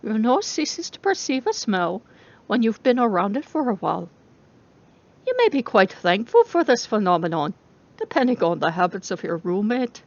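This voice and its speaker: A woman's voice: mid-range voice, very animated, normal speed, highs cut off. A woman voicing an intense female robotics professor who lectures her class in a didactic, emphatic way, as in an audiobook. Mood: instructive.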